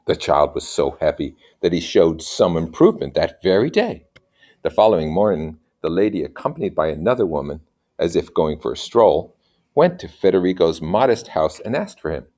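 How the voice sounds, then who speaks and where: Male story reader, fairly low voice, narrating with informal commentary and questioning asides. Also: darkish tone. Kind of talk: story reading with casual asides.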